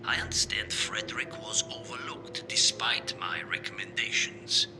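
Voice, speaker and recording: A man playing a haughty, disapproving older patriarch in a video game, speaking formally in a breathy, whispery voice. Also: lowish voice, normal speed, natural voice. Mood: formal, displeased. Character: indignant, haughty older male patriarch of a secret society. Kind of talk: scripted game phone dialogue. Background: loud music.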